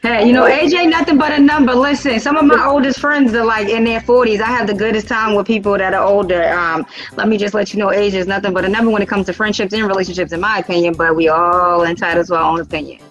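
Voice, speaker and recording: A woman's voice: mid-range voice, steady tone, quick pace, faint music behind, rough recording. A woman in her thirties hosting a casual group video call, upbeat and chatty, stretching out her words. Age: 30s. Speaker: host.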